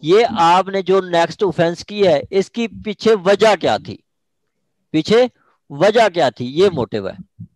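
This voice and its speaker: A man's voice: sits high, lively intonation, slow pace, dry recording, poor audio. A man with a Pakistani accent teaching an interactive online law class, often checking that students follow. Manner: checks understanding with repeated short questions.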